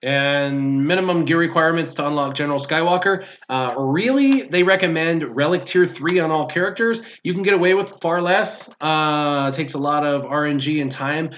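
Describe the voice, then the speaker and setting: A man's voice: a little high, moderate pace, hardly any pauses, a bit bright, slight background noise. A man chatting casually as a guest on a gaming stream, explaining things step by step as he answers viewers' questions. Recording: dull lo-fi stream audio.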